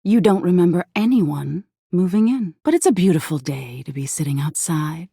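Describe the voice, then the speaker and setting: A woman playing an eerie, softly menacing embodiment of existential dread in a video game, narrating slowly in a hushed, breathy voice that slips into whispers. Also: fairly low pitch, expressive, dry recording, studio quality, exaggerated voice. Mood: ominous, hushed. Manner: slow hushed storytelling, lapsing into whispers.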